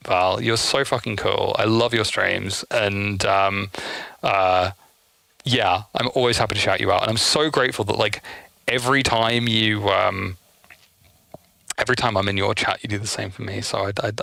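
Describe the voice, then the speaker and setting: A man talks casually to his livestream audience in a bright voice with frequent vocal fry. His delivery is effusive and peppered with swearing. The sound is dry, with little room around it. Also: on the low side, no room sound. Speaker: streamer hosting a live game stream.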